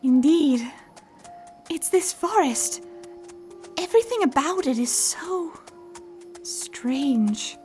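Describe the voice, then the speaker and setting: A woman's voice: high voice, lively intonation, slow pace, pauses often, mellow, soft background music. A woman voicing a refined, caring female unicorn pony in a fan fiction audio drama, theatrical and affectionate in manner. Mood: uneasy.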